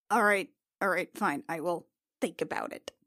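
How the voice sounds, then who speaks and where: A woman's voice: on the low side, slow pace, sharp tone, voice pushed down, heavily acted. Woman acting a grudging, exasperated woman.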